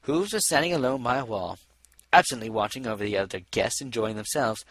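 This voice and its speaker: A man's voice: mid-range voice, lively intonation, brisk, a bit bright, dry recording. A man narrating a scripted story as an audiobook reader.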